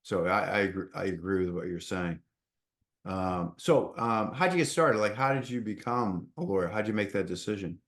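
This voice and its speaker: Middle-aged male podcast host with a Boston accent and a lowish voice; restarts his lines, casual. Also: fairly bright tone. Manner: halting, repeats words while starting sentences. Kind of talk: casual interview.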